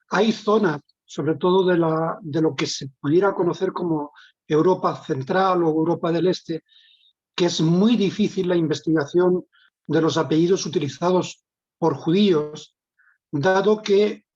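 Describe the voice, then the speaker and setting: A man lecturing in answer to audience questions in a measured, explanatory way. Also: a little high, darkish tone, husky. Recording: dull lo-fi online call.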